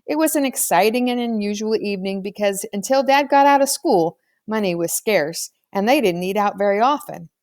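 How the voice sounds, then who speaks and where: A woman narrating a children's novel aloud as an expressive storyteller. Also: medium pitch, normal speed, few pauses, no echo, studio quality. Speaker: audiobook reader.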